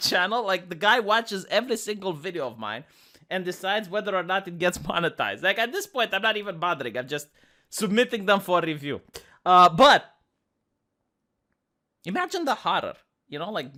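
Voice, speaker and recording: A woman with a Romanian accent and a squeaky voice, hosting sarcastic political commentary with rambling restarts. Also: pushed and bright. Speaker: commentary channel host.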